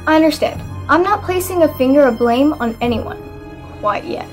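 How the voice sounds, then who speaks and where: A woman's voice: high voice, expressive, normal speed. A woman playing a businesslike, inquisitive detective in a radio play, with formal, firm phrasing. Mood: probing, measured. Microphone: video call. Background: faint music.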